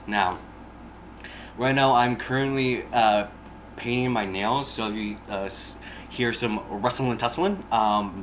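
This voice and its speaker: A man's voice: lowish voice, fairly bright tone, dull audio, a little hiss or hum. A young man hosting his own podcast alone, rambling casually with lots of fillers, restarts and swearing, recorded on an earbud mic. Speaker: podcast host. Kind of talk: casual solo podcast chat.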